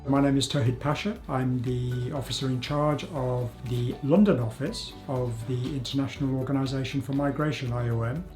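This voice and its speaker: Measured, formal man over music. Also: medium pitch. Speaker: migration office head. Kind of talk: interview answer.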